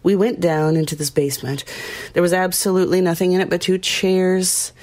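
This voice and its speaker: A woman playing a haunted, compassionate small-town woman, telling her horror story in a somber, measured audio drama narration with hesitant pauses. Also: lowish voice, fairly even intonation, darkish tone, natural voice. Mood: hushed, uneasy. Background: music under the voice.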